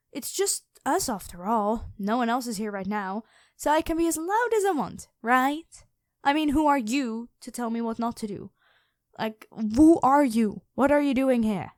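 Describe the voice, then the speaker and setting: Dragon queen for ASMR roleplay female performer: high-pitched, imperious and teasing, very unhurried, close dry sound. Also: dry recording. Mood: haughty, probing. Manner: teasing, commanding, drawn-out delivery. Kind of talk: ASMR roleplay addressed to the listener.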